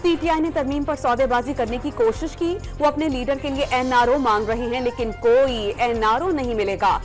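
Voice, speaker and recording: A woman with a Pakistani Urdu accent reading scripted TV news headlines in a crisp, formal newsreader manner. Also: high-pitched, normal speed. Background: news music bed.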